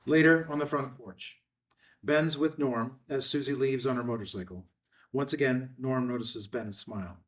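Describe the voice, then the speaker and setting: Mid-pitched man reading stage directions. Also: moderate pace, far from the mic. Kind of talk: scripted narration, screenplay table read.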